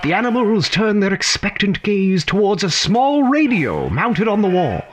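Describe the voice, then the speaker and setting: An actor playing a whimsical, wistful storyteller narrating a radio fable theatrically, with deliberate dramatic pauses. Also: male voice, high-pitched, sing-song, a little hiss or hum. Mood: wistful, expectant. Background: prominent music.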